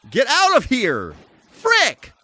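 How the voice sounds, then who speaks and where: A man's voice: extremely high pitch, huge pitch swings, takes it slowly, pushed and bright, dry recording. A man giving casual live commentary while playing a video game, full of mild folksy exclamations and mock-exasperated asides. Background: music.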